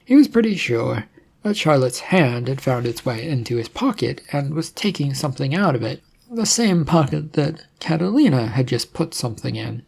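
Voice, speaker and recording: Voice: mid-range voice, big pitch swings, moderate pace, gravelly, a little mellow, breathy edge. A narrator reading scripted fiction aloud as a storyteller.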